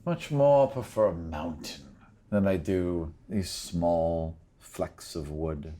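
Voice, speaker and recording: A man's voice: deep voice, slow pace, mellow, slight background noise, voice pushed down. A man voicing an elderly elven grandmother in a fantasy tabletop game, doting yet imperious and world-weary, with genteel, fussy phrasing. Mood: grumbling. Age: very old.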